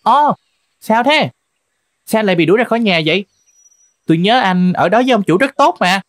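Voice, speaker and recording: A woman's voice: medium pitch, lively intonation, normal speed, no room sound, natural voice. A woman voicing a crafty, friendly fox in a cartoon folk tale, chatty and confident. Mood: curious, sympathetic. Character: crafty, friendly, self-assured fox, loyal helper. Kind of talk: animated folk tale dialogue. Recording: music under the voice.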